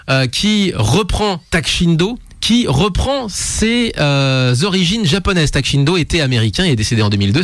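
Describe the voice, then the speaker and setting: A man's voice: medium pitch, dry recording. A male radio host talking in an easy, casual way. He wanders through his points and keeps correcting himself with fillers along the way. His voice sounds dry and close to the mic, with no room sound. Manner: rambling, self-correcting, many fillers.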